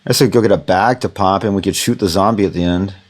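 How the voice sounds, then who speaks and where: A man's voice: low-pitched, fast. A man teaching audio production in a casual, rambling way from a home studio, with many fillers. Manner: rambling, relaxed, lots of fillers. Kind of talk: casual recorded tutorial.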